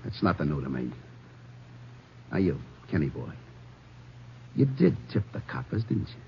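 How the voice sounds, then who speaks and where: An actor playing a menacing American gangster on the run in a 1950s radio crime drama, barking curt slangy orders and sardonic threats. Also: male voice, extremely low pitch, sing-song, normal speed, gravelly, heavily acted. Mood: bitter, mocking. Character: menacing cop-killing gangster on the run, male. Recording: muffled, noisy 1950s radio recording.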